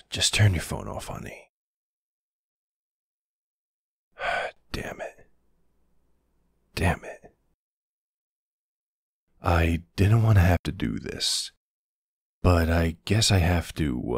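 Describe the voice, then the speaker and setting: A man playing a protective older ex-military boyfriend, gruff but tender, in an intimate ASMR roleplay with a gravelly, husky, soothing voice. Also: low voice, no echo, studio quality, heavily acted. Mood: weary, protective. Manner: slow, hesitant, soothing.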